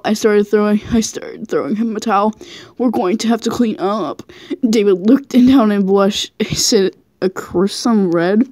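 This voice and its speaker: A woman's voice: mid-range voice, darkish tone, no echo. A woman reading fan fiction aloud casually as an amateur, giggly and stumbling over the text. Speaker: fan fiction reader, channel host.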